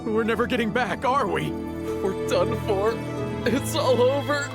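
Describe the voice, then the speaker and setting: A woman voicing a despairing, defeated companion in a video game story, with a hopeless manner. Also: fairly high pitch. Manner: slow, hopeless. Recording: loud music over the voice.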